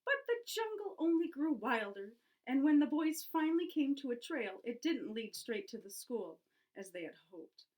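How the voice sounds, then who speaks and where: A woman reads a children's story aloud like a teacher to her class. Her voice is fairly high and swings widely in pitch, with an animated, expressive delivery aimed at young listeners. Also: normal speed, rough recording. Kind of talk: expressive picture-book read-aloud for children.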